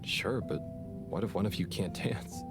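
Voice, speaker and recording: A man's voice: low voice, whispered. A man voicing a shy, kind young man in a video game, speaking hesitantly. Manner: hesitant, halting. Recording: game audio with loud music.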